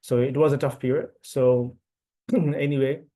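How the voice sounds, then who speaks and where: A man's voice: medium pitch, fairly even intonation, measured pace, warm tone. A man from India, a native Telugu speaker, giving an online webinar talk through a computer mic, with many fillers and restarts. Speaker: event speaker. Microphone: computer mic on video call.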